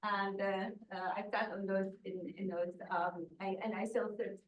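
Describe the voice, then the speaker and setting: A woman's voice: medium pitch, fairly even intonation, normal speed, dull audio. A woman from Syria on a real estate panel, speaking hesitantly with many restarts and fillers. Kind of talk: panel introduction. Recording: echoey room, distant mic, faint noise.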